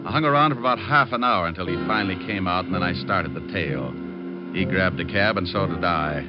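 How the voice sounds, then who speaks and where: A man's voice: low voice, fairly quick, natural voice. An American man acting a tough, sardonic private detective in an old radio drama, with wry, clipped delivery. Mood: wry narrating. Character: wisecracking tough male private detective, hard-boiled and sardonic. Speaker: lead actor and first-person narrator. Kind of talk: 1940s-50s radio detective acting and narration. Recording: muffled old radio recording, loud music.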